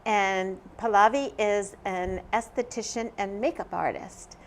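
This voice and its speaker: A woman hosting a local health talk show, friendly and halting as she asks her guest questions. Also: mid-range voice, slight background noise. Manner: friendly, hesitant with fillers and restarts. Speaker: host, nurse.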